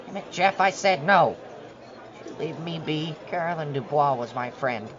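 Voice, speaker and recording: A woman's voice: sits low, lively intonation, unhurried, crowd noise. A woman playing a guarded, uneasy suburban neighbor who speaks tersely and hesitantly in an audio drama. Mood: irritated. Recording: muffled sound under clear music. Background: music.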